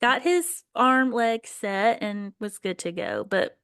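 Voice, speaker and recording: A woman with a fairly high voice, recorded close and dry, chats casually as a podcast co-host. She reacts to the story with expressive interjections and joking comments. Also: takes it slowly, clean tone, dry recording.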